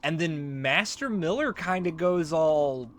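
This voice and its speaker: Casual, animated male let's play host. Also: a little high, takes it slowly, slight background noise. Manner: informal, rambling, swearing. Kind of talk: casual gameplay commentary.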